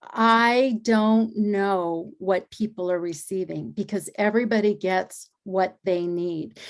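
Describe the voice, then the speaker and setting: A middle-aged woman, an energy healer and acupuncturist, telling her story in a relaxed interview and acting out the dialogue she quotes. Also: medium pitch.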